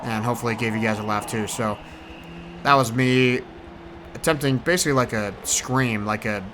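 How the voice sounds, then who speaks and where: A man's voice: medium pitch, normal speed. A man hosting a gaming video, giving casual, rambling, hesitant voice-over commentary. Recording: loud racing car engine noise behind. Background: racing game car sounds.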